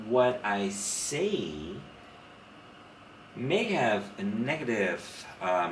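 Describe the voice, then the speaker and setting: Dutch-accented man, very low voice, frank and opinionated, recorded in a roomy space. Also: slight background noise. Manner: frank, opinionated, animated storyteller. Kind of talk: casual conversation. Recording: roomy sound from a distant mic.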